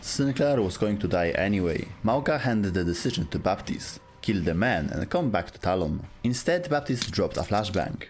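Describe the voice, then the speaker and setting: A man's voice: on the low side, sing-song, moderate pace, few pauses, slight background noise. A man narrating a scripted story as a dramatic storyteller, in short clipped phrases.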